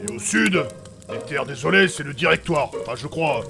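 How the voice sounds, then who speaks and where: A man playing a crude, boastful, blunt wandering tough guy in a French fantasy comedy audio drama, with a gravelly voice. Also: a little high, lively intonation, normal speed, music in the background. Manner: blunt, mocking, vulgar.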